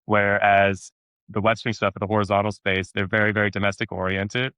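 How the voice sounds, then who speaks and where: Male actor as interview guest: low voice, rapid delivery, animated storytelling, close dry sound. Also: clear, resonant tone, no room sound. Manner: animated storyteller, repeats words for emphasis and acts out quotes. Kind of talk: casual interview chat.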